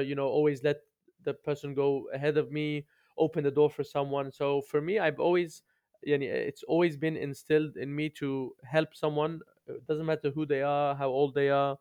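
A man's voice: fairly high pitch, a little mellow, clean tone. A man, telling his story conversationally as a podcast guest. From London, UK.

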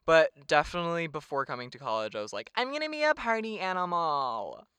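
A young man talks with friends on a podcast in a bright, smooth, slightly raised voice. He is chatty, swears casually and laughs easily. The sound is dry and close, though he sits a little back from the mic. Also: on the high side, big pitch swings, brisk, no echo. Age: young adult. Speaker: podcast co-host. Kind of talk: casual chat. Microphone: sits some way back from the mic.

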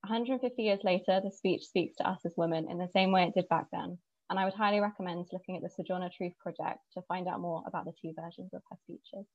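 Woman, measured prepared delivery, lower voice, dry, muffled sound. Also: fairly even intonation, a little mellow, no room sound. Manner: measured, earnest, reading from notes. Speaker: speaker presenting an inspiring woman. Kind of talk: prepared spoken tribute.

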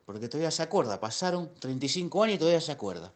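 A man's voice: fairly high pitch, very animated, rapid-fire, background noise. A man playing a nostalgic middle-aged Argentine who rambles and repeats himself in a comic radio play voice message. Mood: nostalgic, amused. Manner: rambling, repetitive.

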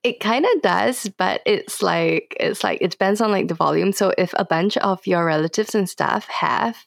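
A young woman talks as a guest on a podcast. Her voice is slightly low with plenty of creak, recorded dry. She is chatty and playful, peppering her talk with casual slang. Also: moderate pace, dry recording. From Singapore. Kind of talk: casual interview chat.

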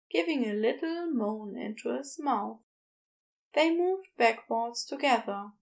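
A woman's voice: on the high side, vocal fry, poor audio. A woman reading scripted fiction as a narrator. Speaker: podfic narrator.

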